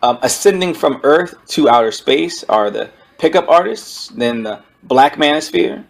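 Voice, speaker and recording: A man giving an informal spoken book review, explaining his points in a halting way over background music. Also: fairly high pitch, lively intonation, gravelly.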